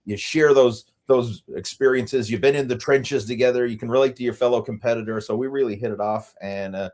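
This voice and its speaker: A man's voice: mid-range voice, fairly even intonation, fairly quick, a little mellow. A man hosting a fitness podcast, talking in an easygoing, friendly way with fillers. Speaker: podcast host. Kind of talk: casual interview talk.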